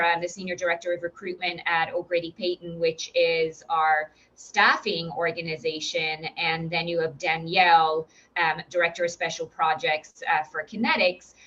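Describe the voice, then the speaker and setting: A woman's voice: on the low side, fairly bright tone, lo-fi sound. A woman with an Irish accent, a recruitment director giving an upbeat, encouraging presentation with frequent fillers and restarts. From Ireland. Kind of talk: webinar presentation.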